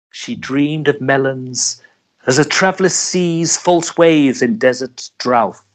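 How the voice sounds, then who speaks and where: A man narrating a poem in a theatrical, measured storytelling style. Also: medium pitch. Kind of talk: dramatic poetry reading, storytelling.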